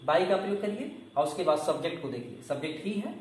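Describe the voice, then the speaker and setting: A man's voice: a little high, expressive, fast talker, darkish tone. A man giving a classroom grammar lesson, explaining step by step and answering his own questions. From India. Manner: instructive, asks questions and answers them himself. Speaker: English grammar coaching teacher. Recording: echoey room, distant mic, faint noise.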